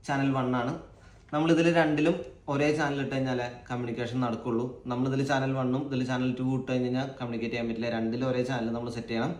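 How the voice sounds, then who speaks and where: A man's voice: medium pitch, steady tone, fast talker, darkish tone. A man giving casual, unscripted commentary as a vlogger unboxing a product, thinking aloud as he goes. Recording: echoey room, faint background noise.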